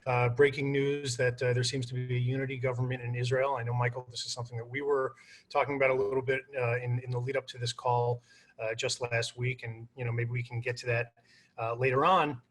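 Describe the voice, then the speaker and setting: A man of middle pitch hosting a panel discussion over a video call. He welcomes his guests in a friendly way and leans on small hesitations as he goes. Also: little pitch movement, brisk. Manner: friendly, with many "uh" fillers. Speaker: moderator.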